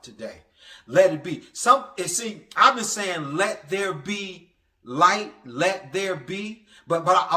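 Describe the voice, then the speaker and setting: In a roomy, echoing space, a man preaches with a bright, forceful voice that sits a little high. He delivers an impassioned motivational sermon, stumbling and stammering at times, and circles back to repeat phrases for emphasis. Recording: echoey, reverberant room sound.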